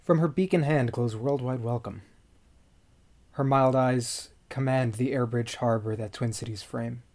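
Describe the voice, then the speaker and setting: A man's voice: medium pitch, a little slow, darkish tone. Male poetry reader, middle-register voice, reciting formal verse.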